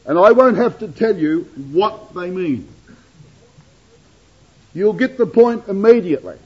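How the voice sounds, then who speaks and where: A man preaching a sermon to his congregation, addressing them as brethren and sisters and swinging his delivery from loud declamation to hushed asides. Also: lively intonation, a little slow. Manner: dramatic rises and drops in pitch and loudness. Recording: dull lo-fi sermon recording.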